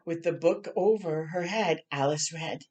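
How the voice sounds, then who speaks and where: A woman reading a children's picture book aloud expressively, pausing to chat and ask young listeners questions. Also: sits low, muffled recording. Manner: animated, asks the listeners questions.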